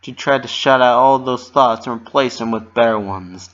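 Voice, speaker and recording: A narrator reads a story aloud in a dramatic storybook style. The voice sits at a medium pitch. The home recording sounds a bit dull and lo-fi. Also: normal speed.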